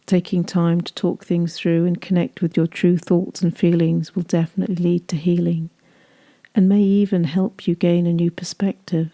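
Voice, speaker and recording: A woman leading a guided meditation, speaking gently in soothing, unhurried phrases that trail off softly. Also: lowish voice, little pitch movement.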